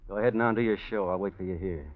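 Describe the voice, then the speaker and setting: An actor playing a menacing, smug American gambler and killer in a 1940s radio crime drama, sardonic and slangy. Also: male voice, sits low, very fast, over loud music, lightly acted. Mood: coolly menacing. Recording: dull lo-fi 1940s radio recording.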